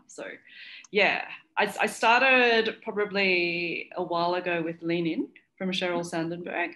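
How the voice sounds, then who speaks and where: A woman's voice: lowish voice, pushed and bright, rough recording. A young adult woman on a headset, a panelist speaking candidly and chattily over a video call.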